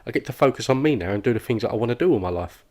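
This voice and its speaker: Man acting fast-talking, oblivious office chatterbox. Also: mid-range voice, lively intonation, a little mellow, close to an everyday voice. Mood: oversharing. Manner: rambling, oversharing, cheerfully oblivious. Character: oblivious, relentlessly chatty male office worker. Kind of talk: comic animated dialogue.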